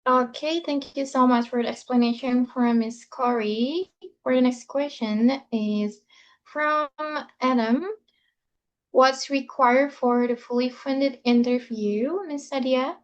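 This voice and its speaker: A woman's voice: high voice, fairly even intonation, takes it slowly, dull audio. A woman politely moderating an online question session, thanking speakers and reading out questions.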